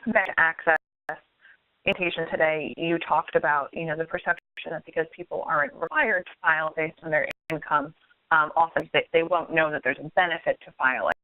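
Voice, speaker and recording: A moderator with a medium-pitched voice hosts a casual online Q&A, phrasing things politely and a little tentatively. The recording is dry and thin, with a telephone-like band. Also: expressive, normal speed, dry recording. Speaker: webinar moderator. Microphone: phone or web conference call. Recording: dry, thin phone-line sound.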